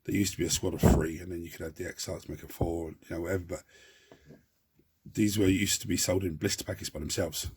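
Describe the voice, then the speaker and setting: A man hosting a model-building tutorial, talking in a chatty, rambling way with a croaky, hoarse voice and many restarts. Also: low voice, fairly even intonation, quick pace, darkish tone, a little hiss or hum. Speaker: hobby channel host.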